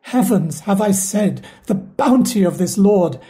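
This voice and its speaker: A woman playing a loyal, sorrowful household steward in a Shakespeare audio play, speaking the verse in an earnest, measured way. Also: mid-range voice, animated, mellow, natural voice. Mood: lamenting.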